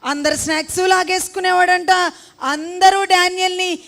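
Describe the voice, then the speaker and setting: Very high-voiced Andhra woman hosting for children. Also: fairly even intonation, moderate pace, gravelly, fairly bright tone. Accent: Telugu, Andhra. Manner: repeats answers back to the children. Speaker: children's puppet show host. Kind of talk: lively questioning of puppets and children.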